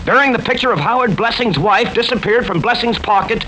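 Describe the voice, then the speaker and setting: Impassioned, high-pitched stubborn juryman. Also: brisk, bright, forceful tone. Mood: urgent, commanding. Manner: emphatic, theatrical, argumentative. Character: stubborn, impassioned male juror arguing for the accused. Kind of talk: old-time radio mystery drama acting. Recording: noisy vintage radio broadcast.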